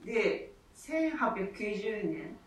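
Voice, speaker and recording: A woman's voice: medium pitch, big pitch swings, slow pace, recorded from a distance. A woman in a theatre troupe chatting casually as co-host of a radio talk show. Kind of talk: casual, relaxed chat. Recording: echoey room, away from mic.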